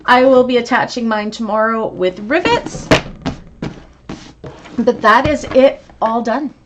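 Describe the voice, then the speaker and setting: A woman's voice: fairly high pitch, unhurried, noisy background. A woman teaching a live online sewing class, chatty and encouraging as she answers viewer comments. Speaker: sewing instructor.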